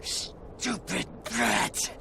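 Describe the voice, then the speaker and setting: A man's voice: drawn-out words, heavy background noise. A man playing a ruthless, arrogant, gloating soldier hunting a fugitive in a video game cutscene. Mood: angry. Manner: gloating, threatening. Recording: loud music behind the voice.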